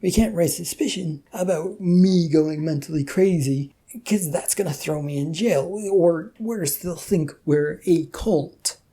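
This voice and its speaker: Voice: medium pitch, measured pace, dark tone, husky, voice pushed up. A narrator voicing an anxious, exasperated man with a hesitant, sarcastic manner in a story reading. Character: exasperated, anxious everyman, reluctant prophet of a god.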